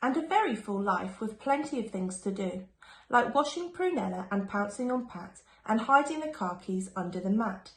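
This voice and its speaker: Female storybook reader, higher-pitched, sing-song and expressive, teacherly delivery for young children, recorded in a roomy space. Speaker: primary school teacher reading aloud. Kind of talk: expressive children's picture-book reading. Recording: echoey room with faint background noise.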